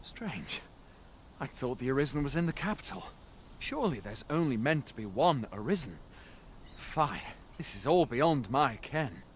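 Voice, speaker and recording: A man's voice: mid-range voice, sing-song, normal speed, a little hiss or hum. A man playing an armored soldier, wary but helpful, in a fantasy game with archaic, theatrical phrasing. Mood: puzzled.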